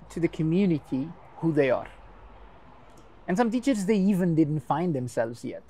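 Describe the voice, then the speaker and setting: A man's voice: fairly high pitch, a little mellow. A man, a dance teacher whose first language is a Portuguese creole, giving long thoughtful interview answers in English and explaining with everyday comparisons.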